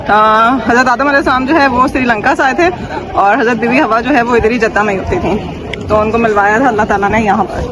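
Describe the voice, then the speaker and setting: A woman's voice: fairly high pitch, quick pace, a crowd in the background. A woman travel vlogger explaining religious sites in an earnest, flowing way. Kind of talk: casual travel vlog chat and voice-over.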